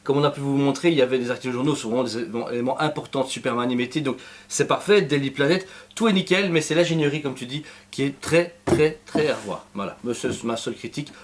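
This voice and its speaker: A man with a Belgian French accent, co-hosting a casual review of a collectible statue, talking in quick, rambling runs full of fillers and restarts. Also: mid-range voice.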